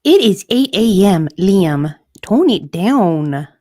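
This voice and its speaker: A woman playing a flustered, breathy young woman in a dramatic romance reading, nervous and easily exasperated. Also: medium pitch, big pitch swings, slow, dark tone, no room sound, clean studio sound.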